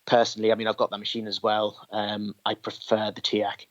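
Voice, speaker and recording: A man's voice: lowish voice, completely flat delivery, brisk. A man chatting as an interview guest, explaining technical details at length. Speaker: podcast guest, tape machine collector. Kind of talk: conversational interview. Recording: dull, lo-fi sound.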